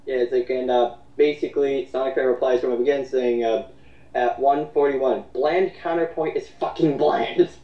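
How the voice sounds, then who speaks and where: A man giving casual, mocking commentary and reading out comments in a derisive, sweary, halting way. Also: medium pitch, steady tone, mellow. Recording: muffled roomy recording, distant mic.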